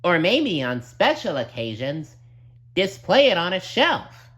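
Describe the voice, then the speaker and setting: A man voicing an excitable, childlike cartoon sea sponge with a gushing, earnest manner. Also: high-pitched, unhurried, breathy edge, voice pushed up, heavily acted. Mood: matter-of-fact.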